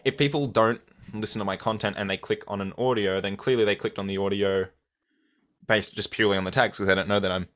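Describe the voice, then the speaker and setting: Young Australian man, lowish voice, thoughtful and measured, restarts often; interview guest. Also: steady tone, fairly bright tone. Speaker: guest, audio content creator. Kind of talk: casual interview answers.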